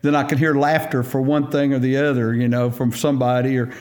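A man in a mid-range voice hosts a church podcast in an easygoing, folksy way. He chats warmly with his guest and fills the gaps with quick yeahs and rights. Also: mid-range voice. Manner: relaxed, folksy, frequent affirmations. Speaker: podcast host. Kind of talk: casual church podcast interview.

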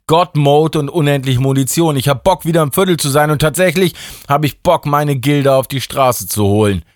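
A man's voice: on the high side, normal speed, dry recording, clean studio sound, pitched above the performer's own voice, natural voice. A man doing a manic young guy playing a war drone. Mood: eager. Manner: excited, shouting gun noises. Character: wild young man who thinks he's a drone.

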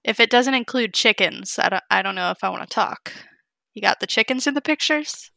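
A woman's voice: a little high, animated, brisk, gravelly, pushed and bright, no room sound. A woman in her twenties, a guest on an interview, joking playfully and giggling often as she explains her consulting work. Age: 20s. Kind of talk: casual interview chat.

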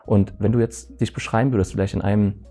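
A man hosting an interview, thanking his guest and asking gentle follow-up questions. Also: on the low side, steady tone, fast talker, soft background music. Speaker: host.

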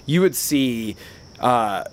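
A man's voice: medium pitch, animated, slow pace, a little hiss or hum. A man who works at a bar, talking in casual chat, rambling and chatty with frequent restarts and filler words. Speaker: bar worker.

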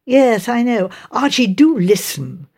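An eager English wife, as a woman narrator plays her, coaxes with very mobile pitch. She is soothing and admiring toward her husband, in an audiobook mystery. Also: sing-song, slow, darkish tone, full character voice.

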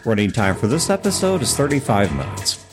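A man's voice: medium pitch, very animated, fairly quick, over loud music. A middle-aged man hosting a podcast, talking casually and explaining things conversationally. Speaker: podcast host.

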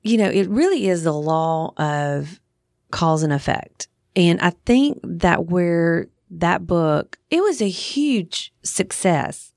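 A woman's voice: on the low side, animated, takes it slowly, vocal fry, a little mellow, no echo, clean studio sound. A woman in her thirties or forties hosting a podcast in a casual, frank way, thinking aloud as she goes. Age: 30s to 40s. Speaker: podcast host. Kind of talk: casual conversational podcast chat.

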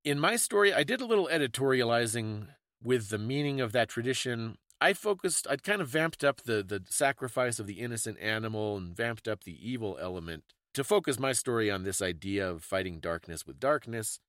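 A man's voice: medium pitch, animated, brisk, hardly any pauses, fairly bright tone, dry recording, clean studio sound. A man hosting a conversational talk, explaining things in an informative, scholarly way. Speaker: podcast host explaining folklore.

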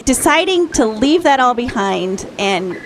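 Higher-voiced woman radio host. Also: very animated, background noise. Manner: brisk, affirming with short replies. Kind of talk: radio interview.

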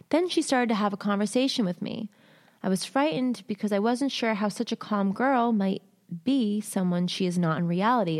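A woman hosting a show, reading a listener's submitted story aloud in short halting phrases, then chatting candidly with casual slang. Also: mid-range voice. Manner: candid, uses swearing and slang. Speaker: host reading listener stories.

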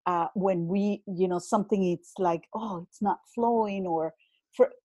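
A woman with a Venezuelan Spanish accent, a native Spanish speaker, chatting as a guest business coach in a podcast interview. She speaks in a slow, reflective way, with many fillers and repeated words. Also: medium pitch, mellow, no room sound. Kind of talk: casual interview conversation.

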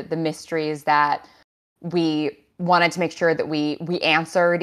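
A woman with a low-pitched voice talks on a creative panel. She is conversational and thoughtful, laying out her reasoning as she goes. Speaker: audio drama writer on a Q&A panel. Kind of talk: casual panel discussion.

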